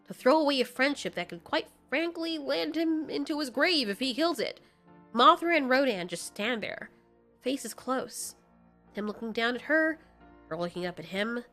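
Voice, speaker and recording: A woman's voice: a little high, expressive, moderate pace, pushed and bright, faint music behind. A woman storyteller giving dramatic scripted narration in an informal, candid way.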